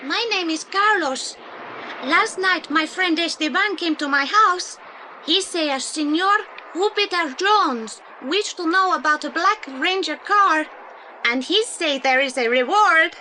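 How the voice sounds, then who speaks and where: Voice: very high-pitched, animated, unhurried, sharp tone, slight background noise, exaggerated voice, low-quality recording. An actor playing an earnest, polite young boy with a Spanish accent and broken English in an audio play. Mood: earnest, eager. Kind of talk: 1980s audio play acting.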